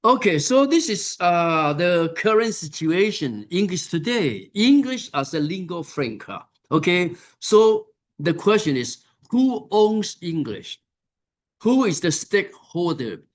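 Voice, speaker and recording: Slow-speaking man lecturing. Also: high voice, big pitch swings. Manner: unhurried, many fillers and pauses. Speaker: conference keynote speaker. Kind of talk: academic conference lecture. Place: conference hall.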